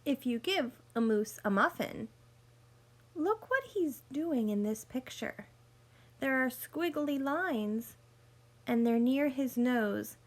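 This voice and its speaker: A woman reading a picture book aloud like a teacher, speaking deliberately to young listeners and explaining as she goes. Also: fairly high pitch. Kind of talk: read-aloud storytelling with teaching asides.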